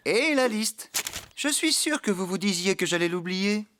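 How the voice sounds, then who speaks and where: A man's voice: sits high, moderate pace, background noise. A man voicing a friendly, forgetful farmhand in a children's cartoon, eager to please and slightly flustered. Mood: proud, cheerful. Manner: animated, good-natured, slightly flustered. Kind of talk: French children's cartoon dubbing. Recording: background music under the voice.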